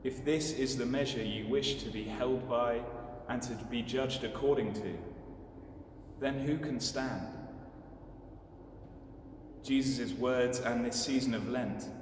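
A young man delivering a scripted homily in a measured, solemn manner. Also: mid-range voice. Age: young adult. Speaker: clergyman giving a homily. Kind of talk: scripted sermon. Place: inside a large stone church. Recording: distant, echoing church, clearly noisy.

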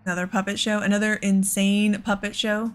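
A woman's voice: mid-range voice, little pitch movement, a little fast. A woman giving casual let's play commentary, thinking aloud in an exasperated way. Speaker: gaming host.

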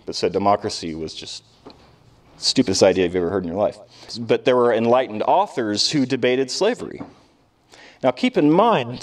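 Low-voiced male lecturer, recorded from afar. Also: darkish tone. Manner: conversational, emphatic, with rhetorical questions and pauses. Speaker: history professor lecturing. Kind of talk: informal classroom lecture. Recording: distant microphone in live lecture.

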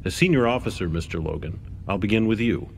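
A man's voice: lowish voice, expressive, fast talker, vocal fry, a little hiss or hum. A man playing a stern, probing middle-aged official questioning witnesses in a video game. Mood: stern, formal. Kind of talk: video game cutscene acting.

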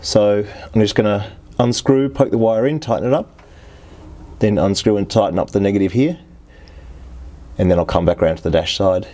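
Male how-to host, 40s, fairly low pitch, practical and plain-spoken, talking through each step. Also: moderate pace, long pauses, mellow. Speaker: host of boat repair channel. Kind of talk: how-to demonstration, explaining steps. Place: workshop garage, indoors.

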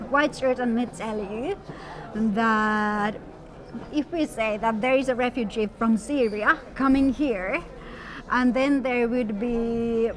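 A middle-aged woman from Finland, interpreting a guest's words in first person with steady, slightly formal explanation. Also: on the high side. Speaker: sign language interpreter voicing the guest. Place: outdoor courtyard at a busy event. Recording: outdoor event with crowd noise. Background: crowd chatter.

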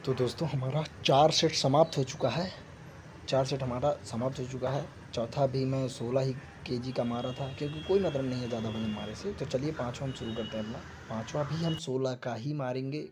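A man's voice: mid-range voice, steady tone, a little fast. A young man from an Indian village talking casually to viewers as a fitness vlogger, often repeating his phrases. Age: young adult. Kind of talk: casual workout vlog talk to viewers. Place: gym, later outdoors on a busy street. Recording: noisy phone recording with loud ambient noise.

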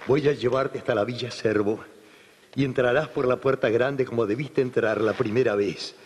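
A man's voice: mid-range voice, steady tone, moderate pace, a little hiss or hum, lightly acted. Man acting adoring, anguished Argentine lover, long reverb. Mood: ardent. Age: middle-aged. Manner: impassioned, melodramatic delivery. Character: anguished, adoring male lover, tender and feverish. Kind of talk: classic radio soap opera acting. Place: TV studio radio-play stage. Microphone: large vintage studio microphone. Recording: reverberant studio sound over music.

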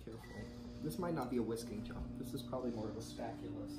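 A man's voice: medium pitch, rapid-fire, crowd noise. A young American man chatting casually in a wry, playful way in a home kitchen. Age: young adult. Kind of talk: casual chat. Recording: roomy echo, music behind. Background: loud music.

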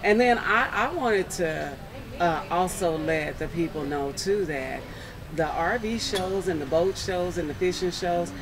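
A woman's voice: fairly low pitch, animated, unhurried, noisy background. A woman speaking casually and agreeably as an interview guest in a large indoor show hall. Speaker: interview guest, satellite TV dealer. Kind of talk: casual unscripted chat. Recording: busy exhibition hall, crowd behind.

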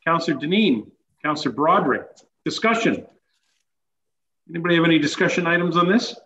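A man with a somewhat high voice reads out a council resolution and calls for the vote. He is heard over a video call. The room is echoey, with faint background noise and dull, muffled audio. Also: expressive, a little fast, bright, forceful tone. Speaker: mayor. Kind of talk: formal motion reading.

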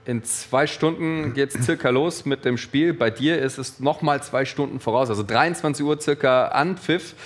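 A man hosting a studio interview show, upbeat and lively as he presents and asks questions, on a headset mic. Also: mid-range voice, normal speed, few pauses. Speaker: show host.

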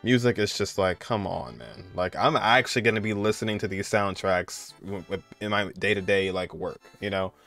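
A man's voice: deep voice, moderate pace, vocal fry, hardly any pauses, fairly bright tone, soft background music. A man in his twenties giving casual, conversational reaction commentary as a video creator.

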